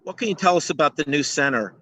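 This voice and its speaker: Bright, forceful male reporter. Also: fairly high pitch, expressive, fast. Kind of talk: press questioning. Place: video press conference call.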